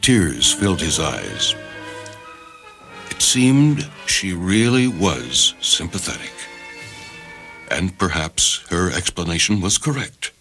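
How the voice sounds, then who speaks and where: A man reading a short story as narrator, in a measured, literary, dramatic scripted style. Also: low voice, very animated, a bit bright. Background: music.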